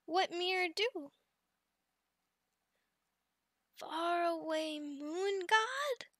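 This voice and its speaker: Squeaky-high, sweet little goblin girlfriend. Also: no echo, studio quality, full character voice. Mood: amazed, curious. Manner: broken third-person speech, halting and excitable. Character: sweet, childlike, devoted female goblin, adult, shy and eager. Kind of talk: ASMR roleplay.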